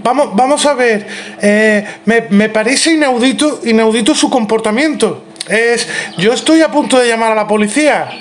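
A man playing a prissy, indignant neighbour on a prank call, halting and stiffly formal. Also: extremely high pitch, a little hiss or hum. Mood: prissy indignation. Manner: halting, repetitive, stilted formality. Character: prissy, indignant, fussy neighbour complaining by phone. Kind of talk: improvised prank phone call.

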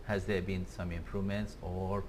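A man's voice: deep voice, flat delivery, moderate pace, background noise. A man in his fifties with an Indian accent, hosting a conversational interview in a TV studio on a lapel mic. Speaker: talk show host. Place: small TV studio set.

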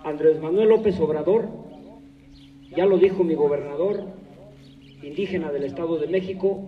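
A man's voice: on the high side, normal speed. Man making a big outdoor speech, heard from far off, voice bouncing back off walls, kinda dull sound. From Mexico. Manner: oratorical, rising to emphatic declarations. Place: large open courtyard of a hacienda, outdoors. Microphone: far camera, no close mic. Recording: echoey distant outdoor phone video.

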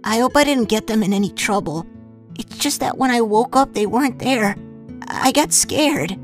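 A woman voicing a timid, caring young goat monster boy in an animated comic dub, earnest and anxious. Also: a little high, moderate pace, faint music behind, lightly acted. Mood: worried.

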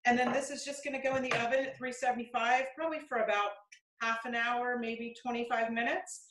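A woman's voice: on the high side, steady tone, brisk, noisy background. A middle-aged woman giving a casual cooking demonstration in her home kitchen, chatty and practical, with many ums and restarts. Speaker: cooking segment presenter. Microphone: camera across the kitchen counter. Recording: echoey kitchen, voice from a distance.

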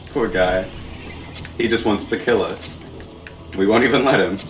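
A man's voice: lowish voice, takes it slowly, echoey room, a little hiss or hum. A man bantering casually and jokingly as he co-hosts a gameplay video. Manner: joking, giggly. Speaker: gaming video co-host and player. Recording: distant mic under game music. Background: video game music.

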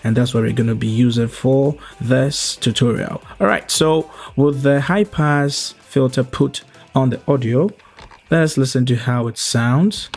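A young adult man narrating an explanatory tutorial as its host, going through it step by step in a measured way. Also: medium pitch, husky. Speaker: host of a video editing tutorial. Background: background music.

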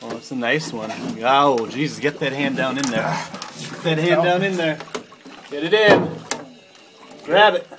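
A man narrating a catch in an ice fishing shack, eager and coaching, with excited whoops. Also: fairly high pitch, measured pace, long pauses, background noise. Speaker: friend on a fishing trip. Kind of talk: casual play-by-play.

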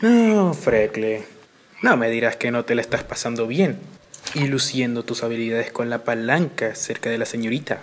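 A man's voice: medium pitch, lively intonation, moderate pace, natural voice. Man acting a theatrical, swooping cartoon tomcat. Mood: gleefully theatrical. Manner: grandiose, melodramatic. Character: manic, theatrical male cartoon cat bootlegger in suit. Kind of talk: animated fan dub acting. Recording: clear noise behind the voice.

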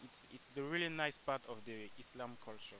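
A young man from Cameroon with an average pitched voice answers questions outdoors into a handheld microphone. He talks hesitantly, repeating words while he finds them, with a faint hiss behind him. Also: fairly quick, sharp tone, low-quality recording. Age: young adult. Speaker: interviewee, foreign student. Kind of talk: casual street interview answers. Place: outdoors on a campus walkway. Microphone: handheld microphone held by interviewer.

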